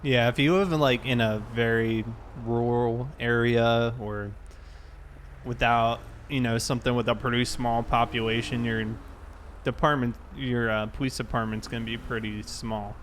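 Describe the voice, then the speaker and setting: Male streamer, medium-pitched, relaxed gameplay chat over faint car ambience. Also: normal speed, a little hiss or hum. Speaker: livestreamer playing a police officer.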